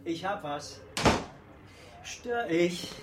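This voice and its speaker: An actor playing a dry, wry, middle-aged veteran police detective in a radio drama, with a husky voice. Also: male voice, high voice, animated, very slow, very noisy, full character voice. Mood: dry, knowing. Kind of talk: naturalistic radio play dialogue.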